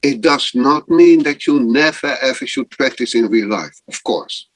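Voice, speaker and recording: Slow, thoughtful man on a video call. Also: mid-range voice, expressive, no room sound. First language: not German. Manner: slow, thoughtful, pausing often. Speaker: guest improviser. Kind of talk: casual interview over video call.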